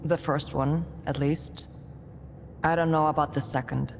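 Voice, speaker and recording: A woman's voice: low voice, fairly even intonation, measured pace, gravelly, a little hiss or hum. An actress playing a guarded, determined young woman adventurer in a fantasy video game, terse and matter-of-fact. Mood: uncertain. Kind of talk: dramatic video game acting.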